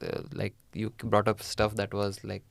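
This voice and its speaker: A man's voice: fairly low pitch, completely flat delivery, normal speed, vocal fry, no echo. A young man in his twenties with an Indian accent, a podcast guest talking casually with many "like" fillers. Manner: hesitant, lots of "like" and "uh" fillers. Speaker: podcast guest, master's student. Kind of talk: casual interview conversation.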